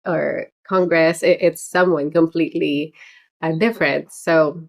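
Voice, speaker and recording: A middle-aged woman chatting loosely on a livestream, her voice sitting a little low. She rambles, restarts and fills gaps with "um" and soft laughs, warmly casual and unscripted. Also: steady tone, measured pace, creaky. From Philippines heritage. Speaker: political candidate hosting a livestream.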